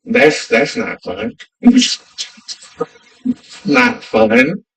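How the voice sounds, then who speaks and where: A man's voice: high voice, extremely slow, gravelly. Podcast guest guy, emphatic, kinda far from the mic, voice swings up and down a lot. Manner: emphatic, animated, repeats phrases for effect. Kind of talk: casual chat. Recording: distant from the mic.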